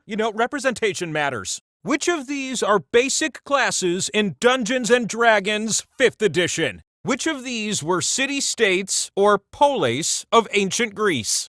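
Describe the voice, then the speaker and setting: A man's voice: sits high, bright, forceful tone, no room sound, studio quality, exaggerated voice. A man playing a pompous, wry godlike wheel who hosts a quiz game with theatrical, mock-solemn grandeur. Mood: wry, chatty. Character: pompous, theatrical omnipotent wheel deity on a mountaintop. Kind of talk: theatrical game show hosting.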